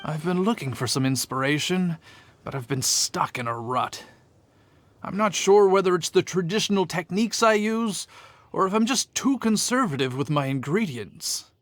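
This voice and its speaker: An actor playing a polite, friendly, modest gentleman winemaker in a video game, speaking in a courteous, earnest, slightly hesitant way. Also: sits high, lively intonation, moderate pace, no echo. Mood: friendly, musing. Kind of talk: scripted video game character acting. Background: background music.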